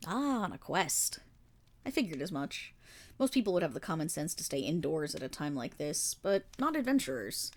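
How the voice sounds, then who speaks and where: A woman acts out a seasoned female paladin in a fantasy audio roleplay. Her voice sits a little low and moves with lively, sweeping intonation. She is kindly and earnest, weighted with regret, and tells her tale in formal, measured phrases. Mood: friendly, curious. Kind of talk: fantasy roleplay audio monologue addressed to the listener.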